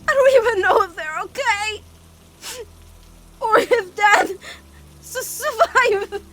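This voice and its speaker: A woman voicing a devoted, anxious teenage witch girl in an animated-style comic dub, earnest and halting in delivery. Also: very high-pitched, full character voice. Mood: tearful, desperate.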